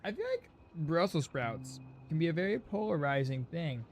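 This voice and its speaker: A man giving casual, excitable live commentary as a game streamer, informal and joking. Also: on the high side, normal speed, a little hiss or hum. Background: game music.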